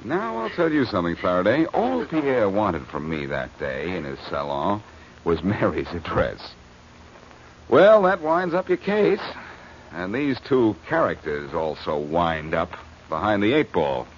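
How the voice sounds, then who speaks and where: An actor playing a cocky, quick-witted amateur detective in a 1940s radio crime drama, wisecracking in emphatic slang. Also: male voice, sits low, very animated. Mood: triumphant. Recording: muffled, hissy old radio recording.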